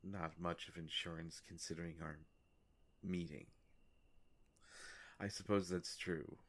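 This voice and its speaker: A man playing a courteous, ancient vampire in a roleplay audio drama, speaking in a halting, formal, soft-spoken way. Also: sits low, steady tone, heavily acted. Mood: solemn, wry. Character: courteous, ancient male vampire, gentle and hesitant.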